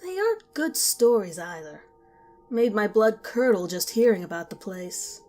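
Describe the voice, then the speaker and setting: A woman voicing a worried, kind middle-aged mother who speaks in a hushed, warning way. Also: mid-range voice, very animated, unhurried, soft background music. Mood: ominous warning.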